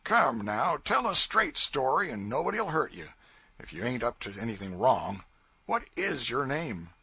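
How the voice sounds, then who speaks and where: A man voicing a stern but fair raftsman leader, gruff and commanding. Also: medium pitch, very animated, measured pace, sharp tone, no echo, heavily acted. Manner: gruff, commanding, then lenient.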